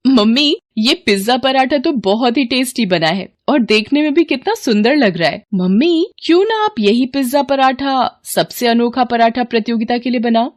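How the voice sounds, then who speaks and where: A woman voicing an eager, encouraging college-age daughter in an animated moral story, with a coaxing, pleading manner. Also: on the high side, normal speed, hardly any pauses, pitched above the performer's own voice, lightly acted. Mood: excited. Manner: pleading, bright-spirited, coaxing.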